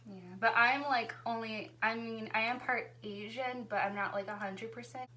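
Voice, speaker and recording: A woman's voice: a little high, steady tone, normal speed, pushed and bright. A woman hosting a casual couple vlog, talking in an easygoing, chatty way and laughing often. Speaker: vlogger hosting a couple tag video with her fiancé. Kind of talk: casual chat.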